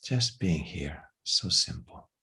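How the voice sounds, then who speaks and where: A man leading a guided meditation in a gentle, unhurried way, with long pauses between phrases. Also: deep voice, lively intonation, slightly rough.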